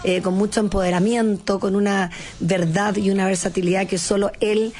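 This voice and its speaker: A woman's voice: mid-range voice, steady tone, normal speed, a little mellow. A Chilean woman hosting a TV talk show, with a fairly neutral Chilean accent, gushing and effusive, often repeating her words. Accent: neutral Chilean Spanish. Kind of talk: enthusiastic TV interview.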